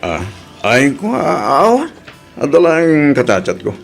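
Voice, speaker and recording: A man's voice: a little high, huge pitch swings, measured pace, creaky, faint music behind, exaggerated voice. A man acting an evasive, hesitant married man in an Ilocano audio drama, with a Filipino accent. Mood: evasive, uneasy.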